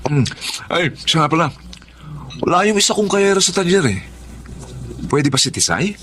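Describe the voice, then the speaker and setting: A man's voice: sits high, expressive, moderate pace, fairly bright tone, slight background noise. A man playing a boorish, bossy, needling husband in a radio drama, with a Filipino accent. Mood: jovial, teasing. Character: teasing, boorish, drunken bully of a husband. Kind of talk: Tagalog radio drama acting.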